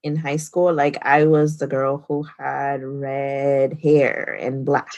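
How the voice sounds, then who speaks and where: A woman co-hosting a casual podcast, telling stories in a relaxed way and laughing between points. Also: a bass voice, takes it slowly, lo-fi sound. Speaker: podcast co-host. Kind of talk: casual conversation.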